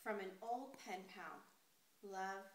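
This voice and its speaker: A woman reading a picture book aloud expressively and deliberately, putting questions to young listeners. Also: mid-range voice, slight background noise. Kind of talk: expressive children's story read-aloud. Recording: roomy, echoey sound.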